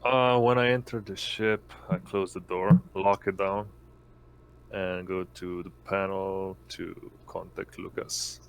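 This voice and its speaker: A man's voice: low voice, level tone, takes it slowly, creaky, smooth, low-quality recording. A man playing a tabletop role-playing game, speaking casually and hesitantly as he searches for words. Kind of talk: casual improvised role-play.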